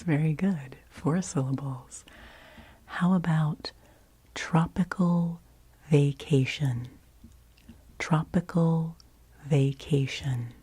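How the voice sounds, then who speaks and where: A woman whispering softly in ASMR style, gently and patiently giving instructions and repeating phrases as a host. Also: sits low, no echo. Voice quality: breathy whisper. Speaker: host of a relaxation ASMR show. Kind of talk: whispered ASMR, leading word games.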